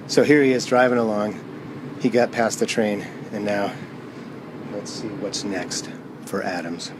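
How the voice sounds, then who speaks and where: A man's voice: fairly low pitch, little pitch movement, unhurried, background noise. A man presenting his own performance, chatting informally and easily to viewers. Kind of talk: casual chat to viewers.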